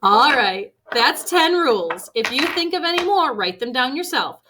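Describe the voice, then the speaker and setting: A woman reading a picture book aloud to children expressively, with deliberate emphasis on key phrases. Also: high-pitched, big pitch swings. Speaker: read-aloud storyteller for children. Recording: faint background noise.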